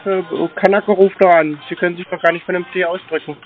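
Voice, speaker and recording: A man's voice: sits high, fairly even intonation, fast, faint music behind. A man taking a phone call, answering curtly with grunts and one-word replies. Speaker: call recipient baiting scammers. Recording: dry tinny phone line.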